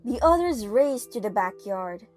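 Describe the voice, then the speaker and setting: A woman narrating an audio play in a theatrical, suspenseful manner, pausing for effect. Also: a little high, animated, a little mellow. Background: music.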